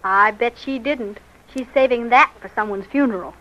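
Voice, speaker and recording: Actress as a querulous, highish-voiced young radio-comedy daughter. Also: female voice, moderate pace, fairly bright tone, lightly acted. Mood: indignant, envious. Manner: querulous, emphatic. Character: fretful, nosy young daughter, indignant and envious. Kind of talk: old-time radio comedy serial acting. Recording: dull lo-fi vintage radio recording.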